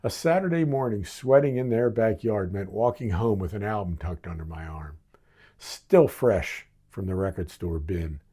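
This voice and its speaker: Mellow American man in his sixties, wry storyteller. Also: on the low side, moderate pace, slightly rough. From Baltimore, United States. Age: 60s. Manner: wry, dramatic storytelling delivery with punchy phrasing. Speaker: author reading own memoir. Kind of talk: scripted memoir narration.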